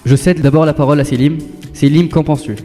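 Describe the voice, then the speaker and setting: A man's voice: fairly high pitch, steady tone, fast, dark tone, big-room sound, soft background music. A man hosting a school web radio show, reading his links politely and thanking each guest.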